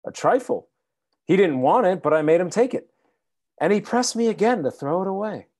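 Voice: mid-range voice, animated, normal speed, darkish tone, natural voice. An actor in a radio play voicing a mild, credulous older father and husband. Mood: musing. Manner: earnest, mild.

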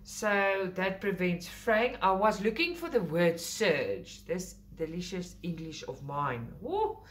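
A woman's voice: fairly low pitch, animated, slow pace, a bit bright. South African woman, Afrikaans first language, enthusiastic rambling craft vlog host, casual unboxing chat. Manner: chatty, enthusiastic, rambling with self-corrections. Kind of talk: casual unboxing talk to camera. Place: indoors at a table, filming overhead.

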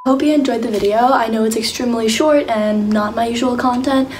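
A woman's voice: on the high side, fairly quick, reverberant, far from the mic, a little hiss or hum, low-quality recording. A young woman vlogging casually from her dorm room, talking to a camera on the desk. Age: young adult. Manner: bubbly, excitable, squeals outdoors. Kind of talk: casual vlog chat.

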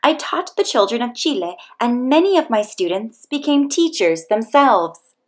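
A woman reading a children's picture book aloud as scripted narration, with expressive, deliberate storytelling delivery. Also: on the high side. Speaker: storybook reader.

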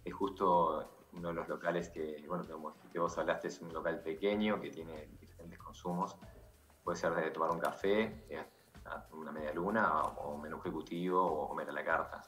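A middle-aged Uruguayan man with a very low, deep voice speaks earnestly over a video call. His words come through an echoey, roomy connection. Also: fairly even intonation, normal speed, a bit bright. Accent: Uruguayan Spanish. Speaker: bar owner. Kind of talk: remote interview guest.

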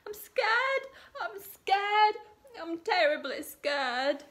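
A woman's voice: very animated, extremely slow, a bit bright, full character voice. A woman voicing a timid, easily frightened male cook in a children's storybook, with a shaky, quavering voice. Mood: fearful. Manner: shaky, high and quavering with fear.

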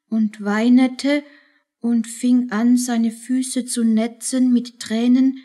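A woman's voice: fairly high pitch, warm tone. A woman playing a guilt-stricken, desperate young mother in a radio play, solemn and anguished in her delivery. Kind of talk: German radio play acting.

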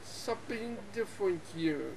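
Excitable young gamer guy chatting. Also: sits high, measured pace, creaky, slight background noise, poor audio. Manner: excitable, laughs often, talks over others. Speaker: gameplay channel host. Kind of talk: casual multiplayer gaming chat.

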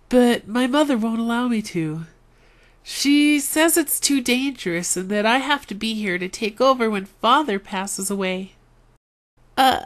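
A woman's voice: a little high, pitched above the performer's own voice. A woman voicing an eager, earnest boy prince for a video game. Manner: excitable, earnest. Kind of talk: video game character acting.

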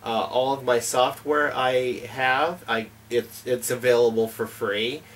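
A man's voice: medium pitch, fairly even intonation, a little slow, distant mic. A middle-aged man who hosts a homesteading channel, answering interview questions in an earnest, plain manner with frequent "uh" fillers, seated in a home library. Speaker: homesteading YouTube channel host. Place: home library with bookshelves and fireplace.

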